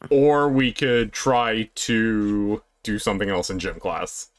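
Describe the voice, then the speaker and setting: A man's voice: on the low side, lively intonation, slow, clear, resonant tone, no echo. Young man in dry, close-miked gaming chat. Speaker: gaming video host. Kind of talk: casual let's play chat with friends.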